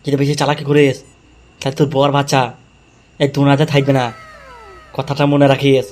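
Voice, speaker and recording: A man's voice: medium pitch, completely flat delivery, brisk, a little mellow, close to an everyday voice. A man playing a threatening, foul-mouthed gangster kidnapper in an amateur comedy cartoon, blunt and bullying. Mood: menacing.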